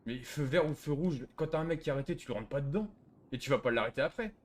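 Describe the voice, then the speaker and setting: A man's voice: mid-range voice, fast talker. A man arguing casually and in slang as a player in an online roleplay game. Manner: slangy, argumentative.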